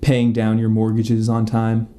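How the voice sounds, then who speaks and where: A man's voice: on the low side, monotone, unhurried, dark tone, close to an everyday voice. A man playing a stern, methodical detective in a mock police interrogation. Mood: stern, deliberate.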